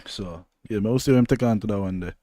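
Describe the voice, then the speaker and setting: A man's voice: lowish voice, fast, dark tone. A young man with a Jamaican accent co-hosting a podcast, talking football in a laid-back, rambling way. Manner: laid-back, rambling, loose patois phrasing. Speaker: podcast co-host. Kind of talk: casual football chat.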